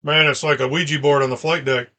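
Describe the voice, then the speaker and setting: A man's voice: on the high side, level tone, fast talker, sharp tone. A man giving casual, slangy, wry commentary as a movie reaction host. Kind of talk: casual off-the-cuff film commentary. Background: movie soundtrack music.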